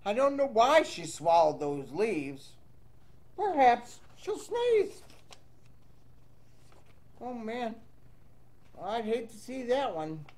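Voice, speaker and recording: A man's voice: very high-pitched, huge pitch swings, takes it slowly, pauses often, a little mellow. A man reading a children's storybook aloud in a playful, sing-song way, with chatty asides to young listeners. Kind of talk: animated read-aloud to children with casual asides.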